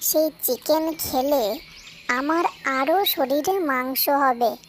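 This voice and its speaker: A woman's voice: sits high, slow, slight background noise. A woman voicing a sly, sweet-talking little fox in a cartoon fable, with a placating, coaxing manner. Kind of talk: cartoon fable character dialogue. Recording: music bed behind the voice.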